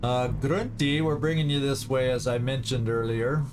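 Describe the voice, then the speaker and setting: A man giving casual commentary on a strategy game, thinking aloud in a deliberate way as he plays. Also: mid-range voice. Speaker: gaming video host. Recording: game music behind the voice. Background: video game music.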